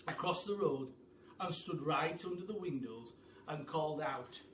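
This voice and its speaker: A man reads a children's story aloud in a theatrical way, leaning on dramatic pauses and emphasis. His voice sits a little away from the mic in a roomy, echoing space, with faint noise behind it. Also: on the high side, expressive, a bit bright, recorded from a distance. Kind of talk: animated storytime reading in a child narrator's voice.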